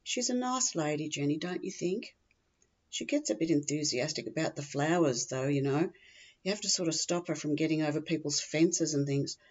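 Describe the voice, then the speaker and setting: A woman's voice: low voice, normal speed, lo-fi sound. A woman voicing a chatty, tactless, scatterbrained gossip with an Australian accent, rambling bluntly to a friend in a humorous story monologue. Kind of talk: humorous monologue, performed reading.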